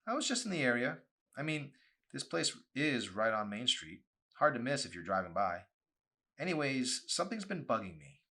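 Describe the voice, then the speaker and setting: A man's voice: medium pitch, very animated, normal speed, sharp tone, pitched above the performer's own voice, exaggerated voice. A man plays a teasing teenage girl in an audiobook. The voice is breezy and coy, lifted well above his own, with a casual, curious lilt. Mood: probing.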